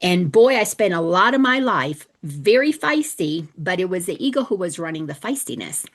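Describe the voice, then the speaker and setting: A woman's voice: lowish voice, sing-song, moderate pace. A middle-aged woman from the Dominican Republic hosting a casual spiritual teaching talk, chatty and storytelling, often restarting her sentences. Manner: chatty, warm-hearted storytelling with frequent restarts.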